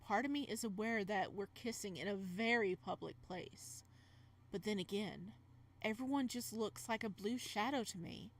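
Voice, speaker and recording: A woman's voice: medium pitch, normal speed, close to an everyday voice. A woman reading as the flustered young male protagonist of a romance visual novel, in dramatic first-person narration. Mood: hushed, romantic.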